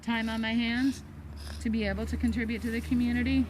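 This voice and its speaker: A woman's voice: a little high, little pitch movement, quick pace, noisy background. A middle-aged American woman in a casual discussion, hesitant and often interrupting herself.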